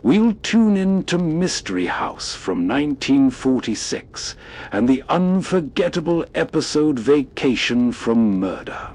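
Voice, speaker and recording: A man's voice: mid-range voice, sing-song, unhurried, a little mellow. A man hosting with scripted, atmospheric storytelling narration. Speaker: host, narrator.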